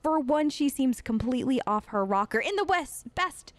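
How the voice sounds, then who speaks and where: A woman's voice: sits high, expressive, normal speed, no echo. A woman improvising casual in-character dialogue for a video game let's play, chatty and rambling with hesitations. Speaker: let's play host role-playing her game character.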